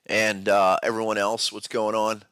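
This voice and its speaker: A man hosting a live podcast about trading charts, talking in a casual, loose way with a head cold that deepens his voice. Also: little pitch movement, measured pace, fairly bright tone, smooth, no echo, clean studio sound. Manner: casual, loose, with fillers and restarts. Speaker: podcast host. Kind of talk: live-stream market analysis chat.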